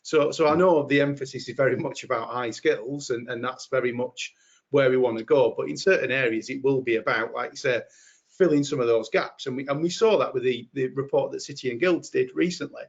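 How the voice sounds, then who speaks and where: A man's voice: medium pitch, brisk, vocal fry, lo-fi sound. A man with a Yorkshire accent answering earnestly on a webinar panel, often repeating words as he thinks. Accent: Yorkshire English.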